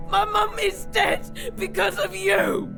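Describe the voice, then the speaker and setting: A woman playing a distraught, impassioned daughter in an audio drama, urgent and pleading, with a creaky edge to her voice. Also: very high-pitched, steady tone, slow, music in the background, heavily acted. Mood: angry. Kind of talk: emotional audio drama acting.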